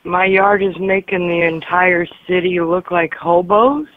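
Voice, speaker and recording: A woman's voice: lowish voice, a little slow, a little hiss or hum. Baffled woman on a muffled phone line. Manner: baffled, defensive. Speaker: yard sale seller answering a prank call. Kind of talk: unscripted phone conversation.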